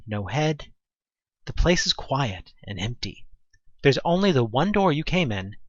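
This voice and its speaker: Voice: mid-range voice, fairly bright tone, no echo, highs cut off. A narrator reading a second-person horror audio drama expressively, with dramatic delivery and conversational asides.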